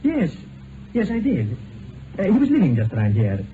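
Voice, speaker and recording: An actor playing a tactful, awkward doctor in a vintage radio drama, speaking formally and haltingly. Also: male voice, high-pitched, wildly swooping pitch. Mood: polite, awkward. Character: tactful, awkward male doctor, polite and helpful. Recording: noisy tinny old radio broadcast recording.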